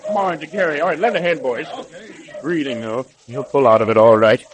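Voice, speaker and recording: An actor playing an earnest, hearty mounted police officer leading a rescue, in 1930s radio drama style. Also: male voice, medium pitch, fairly quick, crowd noise, natural voice. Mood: relieved, urgent. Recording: old 1930s radio recording.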